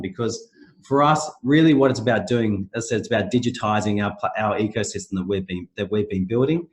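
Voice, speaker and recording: A middle-aged man speaks with a stuffy, nasal voice, clearly fighting a sore throat. His pitch sits in the ordinary middle range, and he presents a webinar in loose, rambling sentences, often restarting and adding fillers. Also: a little mellow. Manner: loose, repetitive phrasing with many restarts and fillers. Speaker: company managing director presenting a webinar.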